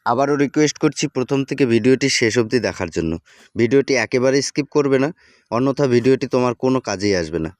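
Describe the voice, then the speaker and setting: A man's voice: medium pitch, lively intonation, quick pace, darkish tone, dry recording. A man narrating an informal video tutorial, addressing viewers familiarly and often repeating himself and his catchphrases. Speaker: tutorial presenter.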